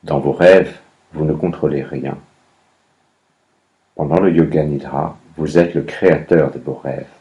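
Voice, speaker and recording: A man guiding a meditation as a yoga nidra instructor, speaking calmly in measured instructions with long pauses between phrases. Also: very deep voice, lo-fi sound. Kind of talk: guided meditation, slow instructions.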